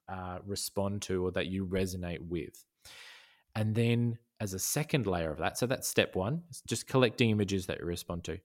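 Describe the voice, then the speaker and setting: A man talking as a podcast guest in a casual conversation, thoughtful, with many fillers and restarts. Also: low voice. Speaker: podcast guest, design educator.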